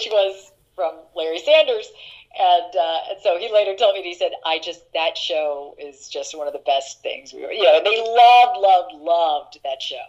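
Middle-aged actress guest, deeper voice, rambling and warmly laughing through anecdotes; dull lo-fi recording. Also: female voice. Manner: chatty, laughing, many fillers and restarts. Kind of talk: casual interview anecdotes.

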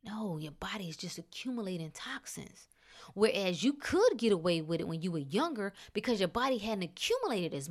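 A woman's voice: mid-range voice, fairly bright tone. A woman talking casually to her viewers about cleansing the body. She stresses her points and repeats phrases for emphasis, with chatty asides. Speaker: health and detox vlogger. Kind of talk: casual talk to camera.

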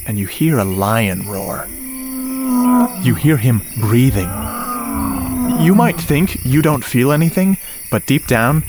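A man's voice: mid-range voice, background noise. A man acting in a radio drama as an enthusiastic sound-effects guy, explaining things conversationally with questions to the listener.

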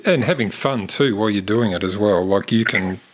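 A man hosting an interview in a relaxed, casual way, giving short encouraging responses. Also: fairly low pitch, brisk. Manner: relaxed, brief backchannels. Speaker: podcast host.

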